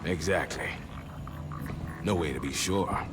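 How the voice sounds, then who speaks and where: An actor playing a gruff, good-humored sergeant major with folksy, swaggering banter in a game cutscene. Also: male voice, sits low, slow pace, music in the background. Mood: ready, wry. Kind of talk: video game cutscene acting.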